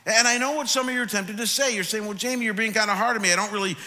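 Congested pastor preaching in a reverberant hall. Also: male voice, high-pitched, very fast, bright, forceful tone. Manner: conversational, rising to emphatic questioning. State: congested with a cold. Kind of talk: sermon. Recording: long reverb of a large hall.

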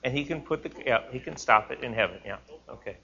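A man's voice: medium pitch, expressive, fast talker, sharp tone, lo-fi sound, slight background noise. A man leading a class discussion, explaining earnestly and fielding questions from students, thinking aloud with restarts.

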